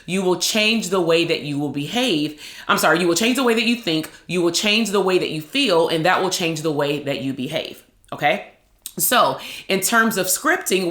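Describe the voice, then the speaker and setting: A woman in her thirties with a loud voice, hosting a casual motivational talk as a psychologist and branding coach, lively and conversational with quick asides. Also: on the low side, reverberant.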